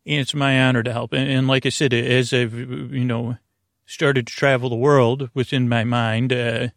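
A man hosting a sleep podcast in a meandering, halting bedtime monologue, full of restarts and whimsical, punning tangents, with a gravelly voice. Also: medium pitch, little pitch movement, no echo, clean studio sound. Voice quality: creaky, gravelly. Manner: whimsical, punning, silly asides.

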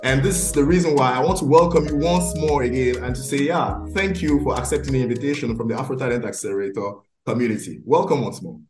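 A man's voice: mid-range voice, fast, hardly any pauses, roomy sound, over music. A man from Cameroon, hosting a podcast earnestly and leaning on fillers like "I mean" and "you know". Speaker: podcast host. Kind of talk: interview hosting.

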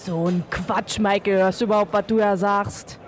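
A woman playing a sceptical, no-nonsense pub keeper, brisk and dismissive, in an amateur audio drama. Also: medium pitch, steady tone, natural voice. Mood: exasperated.

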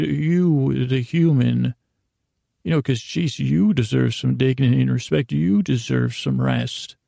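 Very creaky, mellow man rambling softly. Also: medium pitch, dry recording, clean studio sound. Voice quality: creaky, gravelly. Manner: halting, meandering, repeats and rephrases himself; self-deprecating, gently silly. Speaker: host of a bedtime sleep podcast. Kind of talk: rambling, lulling bedtime monologue.

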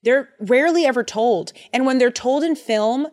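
A young adult woman co-hosting a podcast in casual chat, playful and animated, slipping into little voices. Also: high voice, moderate pace. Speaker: podcast co-host.

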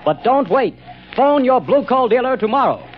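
High-pitched vintage radio announcer man. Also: big pitch swings, moderate pace, faint music behind. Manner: emphatic, formal delivery. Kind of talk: 1930s radio advertising announcement. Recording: dull old radio broadcast recording.

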